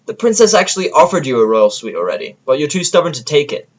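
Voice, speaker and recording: A man's voice: on the high side, very animated, fast talker, pitched above the performer's own voice. A man voicing a laid-back, teasing female unicorn pony in an audio drama, casual and dry. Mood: exasperated.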